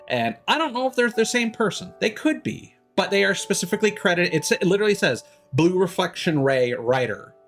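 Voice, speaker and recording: A male streamer talks through a game in a casual, unscripted way. His mid-pitched voice wanders and halts as he thinks aloud, with game music playing behind him. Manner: rambling, halting, thinking aloud. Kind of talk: casual live game commentary.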